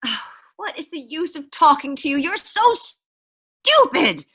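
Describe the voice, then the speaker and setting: A woman's voice: high-pitched, sing-song, a little slow, bright, forceful tone, exaggerated voice. A woman acting a nervous, demanding bedridden woman in a suspense radio play, fretful and haughty, given to exclamations. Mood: haughty outrage.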